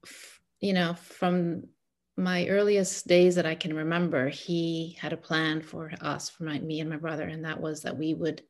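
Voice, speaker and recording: Low-voiced Egyptian-raised woman, gravelly. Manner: warm, earnest, many fillers and restarts. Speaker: guest. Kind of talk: casual conversational interview.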